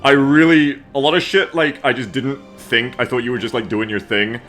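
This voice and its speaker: A man's voice: mid-range voice, fairly even intonation, fairly quick, fairly bright tone, soft background music. A man hosting a game stream, chatting casually about the story in sweary, colloquial banter.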